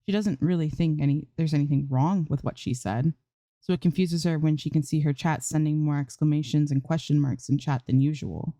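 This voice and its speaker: A woman's voice: deep voice, fairly even intonation, a little fast, mellow, no echo. A woman reading fan fiction aloud as an amateur narrator, in scripted storytelling style.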